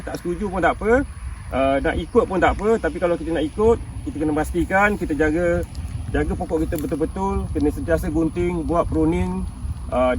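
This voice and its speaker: A man's voice: fairly high pitch, recorded from a distance, a little hiss or hum, low-quality recording. Chatty Malaysian man in his fifties. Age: 50s. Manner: chatty, laughs often, checks with viewers. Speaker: durian farmer and channel host. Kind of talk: casual farming explainer. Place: outdoors in a durian orchard.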